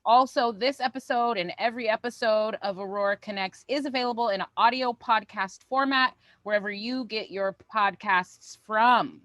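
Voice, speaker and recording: Woman, higher-pitched voice, dry sound, online show co-host, casual announcements, upbeat and informal. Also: moderate pace, few pauses, fairly bright tone, no room sound, muffled recording.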